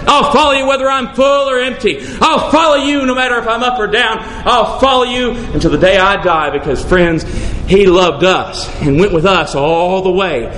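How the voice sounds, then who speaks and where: A man preaching a sermon in a fervent manner, building to shouted refrains and easing into stories. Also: moderate pace, hardly any pauses, a little hiss or hum. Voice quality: says his voice is deep, sings bass. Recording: echoey room with faint ambience.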